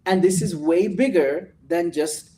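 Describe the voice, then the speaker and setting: A man's voice: sits high, measured pace, warm tone, far from the mic. A host leading a casual trading show and giving motivational advice, often ending his points with "right?". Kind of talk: casual chat.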